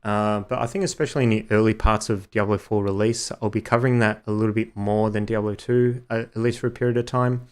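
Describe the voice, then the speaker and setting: A young man streaming a game, chatting loosely to viewers with many fillers, his voice slightly hoarse. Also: lowish voice, flat delivery, fast talker, hardly any pauses. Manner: rambling, many ums and uhs. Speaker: gaming streamer. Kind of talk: casual live-stream chat.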